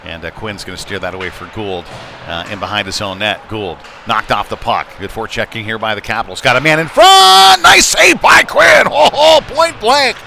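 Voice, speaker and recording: Male hockey commentator, lower register, conversational live call with hesitant pauses, clearly noisy rink backdrop. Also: sharp tone. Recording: noisy indoor rink ambience.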